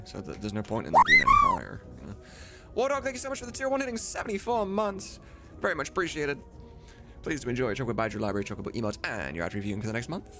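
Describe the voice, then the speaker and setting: A man chatting casually and informally as a livestream host, with some mild swearing. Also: lowish voice. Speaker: livestreamer and host of a game voice-dubbing mod team.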